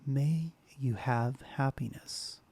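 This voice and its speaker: A man hosting a soft-spoken ASMR guided meditation, speaking slowly and gently, often dropping into a whisper. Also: medium pitch, slightly rough. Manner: slow, soft, partly whispered. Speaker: ASMR host.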